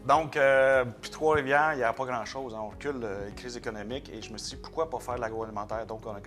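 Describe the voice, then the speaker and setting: A man's voice: medium pitch, steady tone, fast, a bit bright, soft background music. A man with a Québécois French accent, a company president explaining his work in a casual interview, matter-of-fact. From Quebec.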